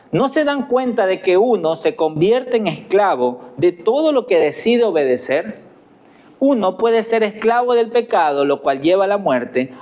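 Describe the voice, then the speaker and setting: A man with an Ecuadorian accent delivers an earnest sermon from the pulpit. His voice sits high for a man, and he leans on rhetorical questions to his listeners. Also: darkish tone. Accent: Ecuadorian Spanish. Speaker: pastor.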